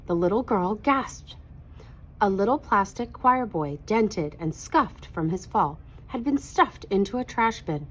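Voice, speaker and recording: A woman narrating a children's picture book aloud in an expressive read-aloud style. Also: medium pitch, measured pace, slightly rough, poor audio.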